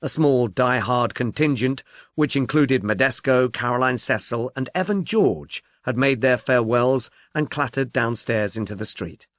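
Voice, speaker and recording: A man reading a detective novel as an audiobook narrator, in a measured, expressive storytelling manner. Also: medium pitch, no room sound, clean studio sound.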